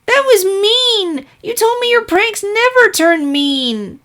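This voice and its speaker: A woman voicing a young unicorn filly in a story, excitable, earnest and quick to raise her voice. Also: extremely high pitch, voice pushed up, heavily acted. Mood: hurt, indignant. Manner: shouting, indignant, earnest.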